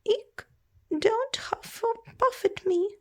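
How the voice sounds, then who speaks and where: A woman voicing excitable little characters who face dragons in a children's storybook, exclaiming their lines. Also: very high-pitched, slow pace, pitched above the performer's own voice. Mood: frightened.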